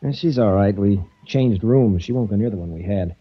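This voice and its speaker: A man's voice: fairly low pitch, normal speed, slightly rough. A man playing a worried, earnest heir in a 1940s radio mystery, with clipped, intense delivery. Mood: worried, bitter. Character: worried, earnest male heir pleading with friend. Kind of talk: 1940s radio drama acting. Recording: muffled old-time radio recording.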